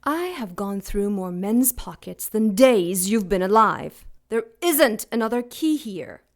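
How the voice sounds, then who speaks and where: A woman voicing an ancient, cruel female demon who taunts slowly and with menace in an audio drama. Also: mid-range voice, very animated. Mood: taunting. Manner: slow, mocking, menacing purr.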